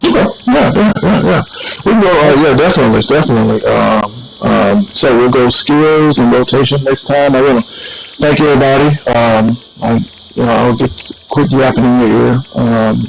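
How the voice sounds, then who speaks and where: A man hosting a casual gaming workshop, talking while he plays, halting with many fillers and restarts. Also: mid-range voice, gravelly, a little hiss or hum, low-quality recording. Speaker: workshop host.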